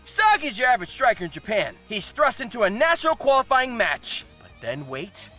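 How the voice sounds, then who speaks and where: High-pitched, lively man doing comedic commentary. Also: fairly quick, over music. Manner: irreverent, theatrical, shouty emphasis, sweary. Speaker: host and narrator of a comedic manga video.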